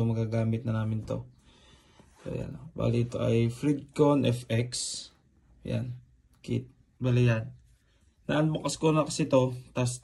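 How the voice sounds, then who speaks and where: Casual male vlogger with some creak. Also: medium pitch, mellow. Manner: informal Tagalog-English mixing, talking while handling gear. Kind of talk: casual unboxing and setup demonstration.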